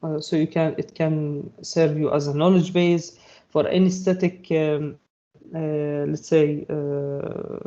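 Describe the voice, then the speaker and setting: A fairly high-voiced man whose first language is Arabic walks through a technical topic in a halting, filler-heavy way. His tone is mellow and soft. The sound is dull and lo-fi. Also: flat delivery. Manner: halting, many fillers and restarts. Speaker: presenter. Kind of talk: technical talk.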